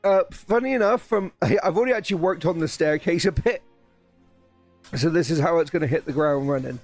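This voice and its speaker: Streamer: man, somewhat high, a bit croaky, excited rambling talk over soft background music. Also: a little fast. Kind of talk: casual live-stream chat while building.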